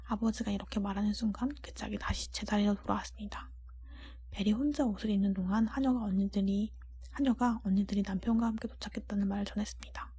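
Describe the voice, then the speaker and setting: A woman whispering a storybook in a breathy voice, gently and deliberately, as an ASMR reading. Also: medium pitch, steady tone. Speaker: audiobook-style narrator.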